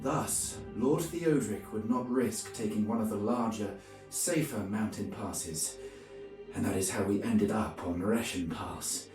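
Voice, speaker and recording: A grave, pious knight of the crusades played by a man recounts his journey for a medieval video game. His low voice is formal and measured, with a solemn storyteller's weight. It sounds roomy and set back, with music underneath. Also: far from the mic, close to an everyday voice. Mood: weary. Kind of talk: scripted dramatic narration.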